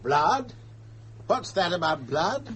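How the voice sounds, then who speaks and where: Irascible ship's captain, radio drama, gruff, slow-paced, swooping pitch, suspicious and commanding. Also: male voice, very animated, a bit bright, full character voice. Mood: stern, suspicious. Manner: indignant, gruff, authoritative. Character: blustering, suspicious ship's captain, irascible yet self-declaredly fair. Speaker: actor.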